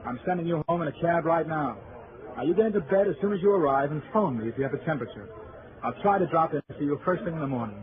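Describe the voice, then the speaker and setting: A man's voice: a little high, lively intonation, fast, a crowd in the background, natural voice. An actor playing a firm, concerned man in a vintage radio drama, speaking insistently. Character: firm, concerned man looking after an unwell woman. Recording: tinny old radio, murmuring background.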